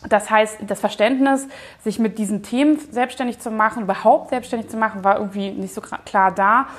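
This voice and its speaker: A woman's voice: mid-range voice, fairly even intonation, brisk. A woman, giving casual, informal interview answers, often hedging and thinking aloud as she looks back. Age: 30s. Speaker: company founder and managing director, interview guest.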